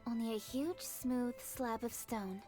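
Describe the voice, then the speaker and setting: High-voiced, poised young scholar. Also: female voice, fairly even intonation, slow pace, warm tone. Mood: earnest explaining. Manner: articulate, earnest, composed. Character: poised, scholarly young woman keen on ancient traditions. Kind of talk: video game voice acting. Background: music.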